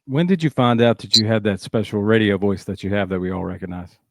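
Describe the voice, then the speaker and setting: Man, brisk talker, casual interviewer, slightly low voice, little room sound. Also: no room sound, clean studio sound. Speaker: podcast host, interviewer.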